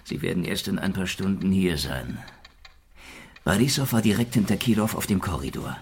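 An actor playing a cynical, lovesick, middle-aged Soviet investigator in a noir radio drama, with a gravelly voice and a sardonic, dry manner. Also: male voice, deep voice, expressive, moderate pace, full character voice. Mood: indignant, pressing. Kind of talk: noir radio drama acting with inner monologue.

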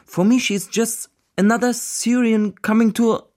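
An actor playing a weary, sceptical Syrian business partner in a radio drama, speaking. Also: male voice, very high-pitched, fairly even intonation, normal speed, darkish tone. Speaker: supporting actor in a radio play. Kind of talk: naturalistic radio drama dialogue in English.

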